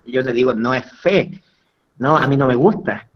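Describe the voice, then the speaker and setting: A man's voice: mid-range voice, moderate pace. A man with a Chilean accent, a guest dental surgeon giving friendly conversational comments on an online webinar. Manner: warm, complimentary, conversational with small restarts. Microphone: video call. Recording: dull lo-fi video call audio.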